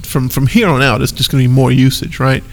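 Gravelly man, stuffed-up nose. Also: mid-range voice, fairly even intonation, a little fast. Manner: easygoing, laughs often, rambling asides. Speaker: podcast co-host. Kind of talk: casual chat.

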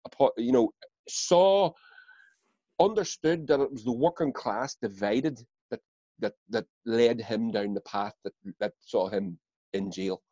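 A man's voice: mid-range voice, a little mellow, no echo, dull audio. A man with a Northern Irish accent in casual chat, blunt and sweary, stumbling over repeated words as he gets going. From Northern Ireland.

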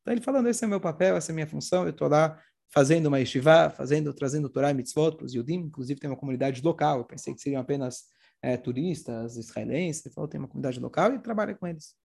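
A Brazilian man, hoarse with a cold, telling religious stories informally and acting out the dialogue as he goes. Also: fairly high pitch, fast talker, a little mellow. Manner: acts out dialogue while retelling. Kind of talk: animated informal religious storytelling talk.

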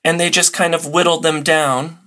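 A man speaking as a podcast guest, explaining in a casual, animated and jokey conversational way. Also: a little high, moderate pace. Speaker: podcast guest, old-time radio enthusiast.

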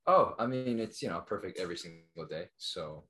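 A man co-hosting a podcast, chatting casually and relaxed, mostly giving short agreeable replies. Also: low-pitched, a little fast, a crowd in the background. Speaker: podcast co-host. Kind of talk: casual chat.

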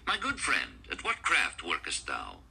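Man voicing a lofty, animated ancient teacher. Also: low voice, unhurried, gravelly, slightly rough, full character voice. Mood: lofty, questioning. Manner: theatrical, archaic, oratorical. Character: wise ancient teacher, lofty and encouraging mentor.

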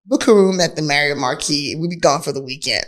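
A woman's voice: low voice, a little fast. A woman co-hosting a podcast in casual conversation, with a candid, playful and teasing manner. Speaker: podcast co-host. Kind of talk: casual couples chat.

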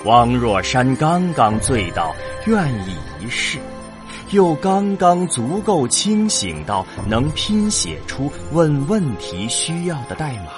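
A male narrator tells a sci-fi story at a slow pace with animated pitch and suspenseful pauses. Music plays clearly behind the voice. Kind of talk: dramatic scripted sci-fi narration.

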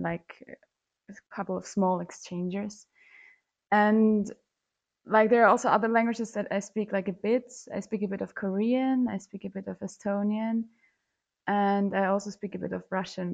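A woman's voice: mid-range voice, vocal fry. Student guest in her 20s, English, chatty filler-heavy delivery, muffled remote audio. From Germany. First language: German. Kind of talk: podcast interview answers.

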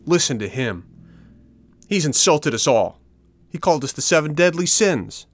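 A man's voice: mid-range voice, expressive, moderate pace, a bit bright, no room sound, voice pushed up. A mocking schoolboy bully , acted by a male storyteller, jeers in a raised voice. He is emphatic and bossy in a storybook way. The sound is close and dry. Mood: threatening. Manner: jeering, emphatic, taunting. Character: mocking gang of rascal schoolboys, bullying and taunting.

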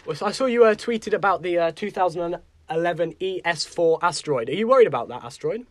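Quick, hesitant male interviewer. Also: high-pitched, animated, no room sound. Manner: hesitant, many "uh" fillers. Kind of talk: studio interview.

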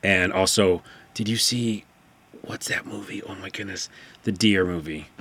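Low-voiced man hosting a casual podcast, chatty and excitable, talking from a distance to the mic. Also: unhurried. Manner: chatty, excitable, reads book blurbs aloud. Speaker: podcast host. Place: studio room, sitting back from the mic. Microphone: far from the microphone.

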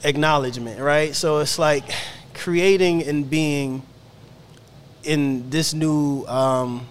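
A man's voice: on the high side, takes it slowly, slight background noise. A man who grew up in Ghana, a guest in a casual podcast talk, reflective and often adding "you know what I mean". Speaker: guest, fashion creative. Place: indoor studio.

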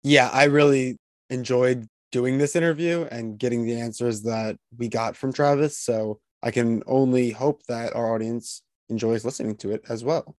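A man's voice: mid-range voice, fairly even intonation, moderate pace, dry recording, studio quality. A man hosting a podcast, introducing guests and interviewing them. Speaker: podcast host.

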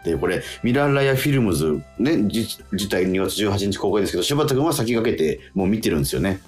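A man's voice: fairly low pitch, sing-song, quick pace, a little mellow, soft background music. A man hosting a radio talk show, chatting casually with his guest and co-host in a studio.

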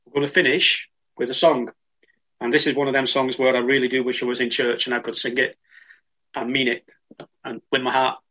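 A man's voice: mid-range voice, level tone, a little fast, bright, forceful tone, muffled recording. A man leading an online church service, praying and speaking informally to the congregation. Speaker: worship leader.

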